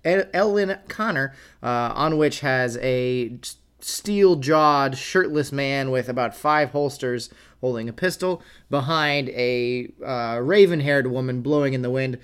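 A man co-hosting a podcast with his wife, chatting casually and joking with quick rambling asides. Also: mid-range voice, a bit bright, clean tone. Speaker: podcast co-host. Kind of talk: casual chat.

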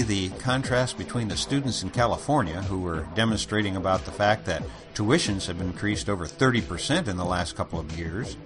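A man hosting a podcast, narrating thoughtfully with frequent "uh"s. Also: lowish voice. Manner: thoughtful, with many fillers. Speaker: podcast host. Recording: music bed behind voice.

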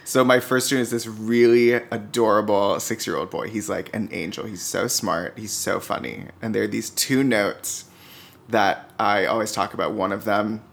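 A man's voice: fairly low pitch, normal speed, vocal fry. A man chatting informally as a podcast guest, swearing easily and acting out quotes as he tells stories. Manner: informal, swearing, expressive retelling with quoted dialogue. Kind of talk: casual chat, anecdote telling.

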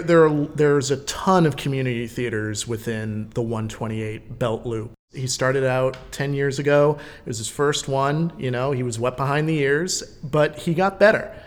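A man, probably middle-aged, chatting casually and telling anecdotes in an animated, conversational way. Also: mid-range voice. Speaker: podcast guest host, community theater actor.

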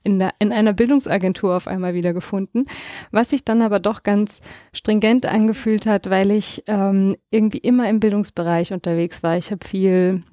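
A woman introducing herself as a podcast guest, talking hesitantly with many pauses and fillers. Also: medium pitch, steady tone, dark tone, no room sound, studio quality. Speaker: guest, project manager. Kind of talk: self-introduction in an interview.

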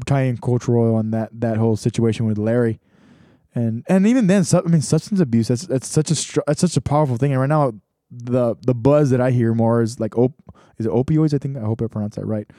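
A young man talks things over in a loose, rambling monologue, circling back and restarting his sentences. His tone is mellow and relaxed. The sound is dry and close, with little room around it. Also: mid-range voice, dry recording. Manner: rambling, many restarts and fillers. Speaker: podcast host. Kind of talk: casual reflective monologue.

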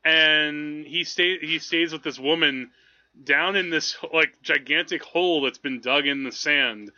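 A man hosting a film podcast, chatting casually in a rambling, halting way as he thinks aloud. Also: a little high, sharp tone, highs cut off. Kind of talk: casual movie discussion.

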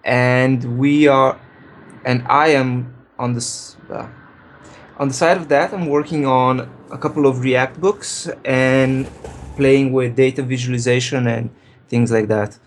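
A man from Slovenia, a programmer, answering interview questions in a relaxed way, thinking aloud and correcting himself. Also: mid-range voice, slow, slight background noise. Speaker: programmer and guest.

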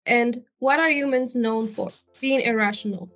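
A woman's voice: fairly high pitch, normal speed, a bit bright, soft background music. Female interview guest from Morocco, non-native English, reflective and articulate, slightly dull lo-fi sound. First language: not English. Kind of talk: conversational interview answers. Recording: dull, lo-fi audio.

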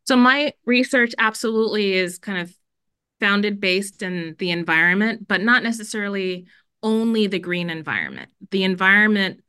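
A woman's voice: medium pitch, expressive, normal speed, pushed and bright, clear, resonant tone, no echo, clean studio sound. A middle-aged woman from Jamaica, a school dean, speaking earnestly in a podcast interview with many fillers.

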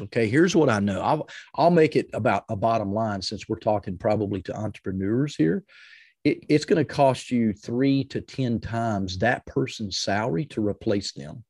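Energetic man with a deep, loud voice. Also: normal speed, breathy edge, no echo, clean studio sound. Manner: energetic, emphatic, repeats phrases for stress. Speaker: podcast guest, leadership coach. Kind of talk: explanatory interview talk with advice and personal stories.

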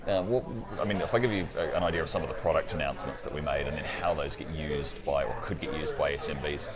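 A man's voice: extremely low pitch, quick pace. A man at a conference explaining technology to an interviewer, often ending with "right?". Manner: explanatory, tags sentences with "right?". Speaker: cloud company partner guest. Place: busy conference floor. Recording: crowd noise behind. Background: crowd chatter.

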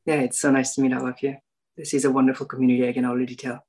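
A man's voice: mid-range voice, flat delivery, rapid-fire, a little mellow, slightly rough. A man from Nepal, new to a meditation group, thinking aloud in a casual discussion and searching for words. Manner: thoughtful, searching for words, frequent ums and rights.

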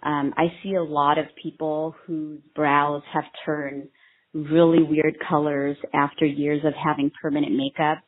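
A woman in her thirties from Vietnam answers interview questions, earnest and hesitant, with many ums. Her voice sits low and a little husky. The sound is thin and tinny, like a phone line. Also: level tone, a little slow. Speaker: microblading artist and trainer.

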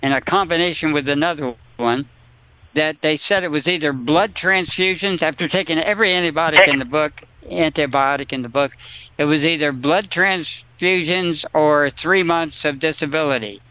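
Male guest, bright resonant voice, anecdotal rambling delivery. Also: a little high, moderate pace, clean tone, rough recording. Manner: rambling anecdotal talker, laughs at own stories. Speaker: studio guest. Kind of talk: casual storytelling chat.

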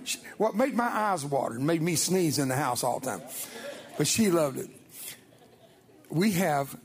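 A man's voice: fairly high pitch, husky. Emphatic older man preaching a testimony. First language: Spanish. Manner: folksy, emphatic storytelling. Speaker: guest speaker. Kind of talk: church testimony sermon.

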